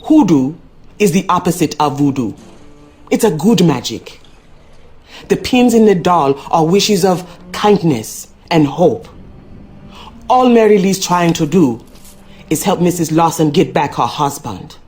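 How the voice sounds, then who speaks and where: A folksy hoodoo woman of the American South, low-voiced and knowing. She speaks with motherly mystery, as a character in an adventure game. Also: animated, a little slow, long pauses, a little mellow. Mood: knowing, mysterious. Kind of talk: video game character acting.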